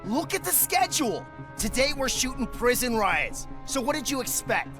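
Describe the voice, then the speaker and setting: A man's voice: very high-pitched, big pitch swings, normal speed, over music, full character voice. A man voicing a pompous, exasperated prima donna actor in a video game, haughty and indignant.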